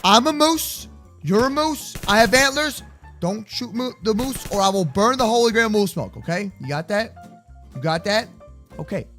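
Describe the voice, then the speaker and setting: A man with a very high, bright and forceful voice does excitable gaming roleplay. He swings widely in pitch, repeats words and exclaims in slang, with music behind him. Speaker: host of a gaming roleplay video. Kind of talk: excited improvised Minecraft roleplay chatter for kids.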